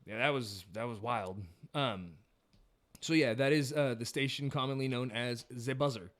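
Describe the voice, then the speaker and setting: A man's voice: mid-range voice, moderate pace, fairly bright tone. A man hosting a livestream, chatting casually, joking and laughing often, reading facts aloud with lots of ums. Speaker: livestream host.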